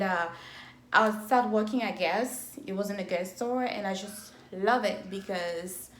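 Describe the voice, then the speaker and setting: Woman, 30s, Cameroonian French accent, talks slow and careful to camera, roomy phone sound with a faint hiss. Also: mid-range voice. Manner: speaks slowly and deliberately, with restarts. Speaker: event planner and entrepreneur. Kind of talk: casual vlog talk to camera. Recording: echoey room on a phone.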